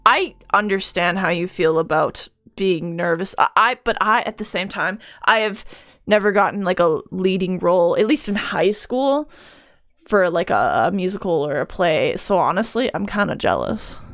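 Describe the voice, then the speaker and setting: A woman's voice: fairly low pitch, animated, moderate pace, a bit bright, dry recording. Young woman hosting a chill chat, eager reactions, dead dry mic. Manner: eager, reacting with short interjections. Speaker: stream host. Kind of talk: casual chat.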